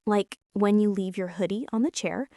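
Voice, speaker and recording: A woman's voice: mid-range voice, moderate pace, dark tone, no echo, close to an everyday voice. Woman acting a bossy, smitten college girl, close and dry. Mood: soft, bossy, teasing. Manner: bossy, teasing, intimate, breathy asides. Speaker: ASMR roleplay performer. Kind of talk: girlfriend ASMR roleplay, intimate scripted monologue.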